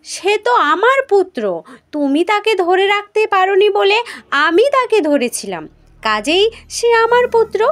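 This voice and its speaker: A woman's voice: very high voice, big pitch swings, a little slow, voice pushed up, heavily acted. A woman storyteller voicing a possessive, argumentative goddess who insists on her claim in a mythological tale. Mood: insistent. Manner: insistent, contesting. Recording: music behind the voice.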